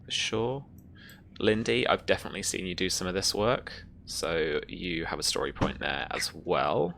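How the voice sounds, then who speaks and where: A man's voice: deep voice, expressive, moderate pace, vocal fry, a bit bright, slight background noise. A man running a tabletop game, talking casually to his players as game master.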